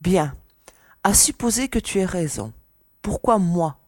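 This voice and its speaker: A woman's voice: fairly low pitch, expressive, measured pace, darkish tone, dry recording, clean studio sound. An actress playing a skeptical young woman officer in a sci-fi audio drama, questioning and coolly teasing. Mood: skeptical, coy. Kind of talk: French audio drama dialogue.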